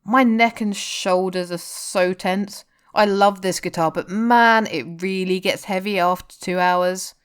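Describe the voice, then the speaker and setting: A woman's voice: mid-range voice, steady tone, unhurried, hardly any pauses, clean tone, natural voice. A woman voicing an earnest, lovelorn male rock guitarist in story dialogue. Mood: weary. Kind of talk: audiobook-style character dialogue.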